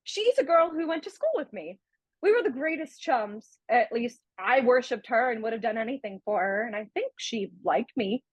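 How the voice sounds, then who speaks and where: A woman acting a breezy young fiancée in a radio comedy. Her voice is high and very animated, recorded dry and close, with an earnest, chatty manner. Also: moderate pace, husky, no room sound. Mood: chatty, admiring. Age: young adult. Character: breezy, affectionate, teasing young engaged woman. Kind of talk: comic radio play acting.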